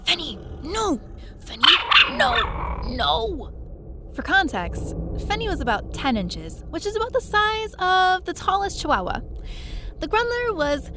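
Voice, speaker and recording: A woman's voice: very high-pitched, measured pace, very noisy, full character voice. A woman playing an eager, naive young adventurer who narrates her own story in an audio drama. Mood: alarmed, pleading. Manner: animated, chatty, naive. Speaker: lead character and narrator.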